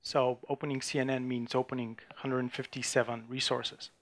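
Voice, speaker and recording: A man from Bulgaria, giving a technical conference talk with a live demo and talking in a halting way, with many restarts and fillers. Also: mid-range voice, level tone, a bit bright. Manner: halting, frequent restarts and fillers while demoing. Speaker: conference speaker. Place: conference room before an audience.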